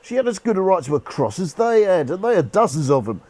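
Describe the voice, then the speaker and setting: An actor playing a cheerful, irreverent rough soldier's ghost in a radio play, talking in jaunty, colloquial speech. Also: male voice, sits high, very animated, fast talker, darkish tone, no echo. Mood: jolly, unrepentant. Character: cheeky, cheerful old-soldier ghost, rough common man. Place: actor's home.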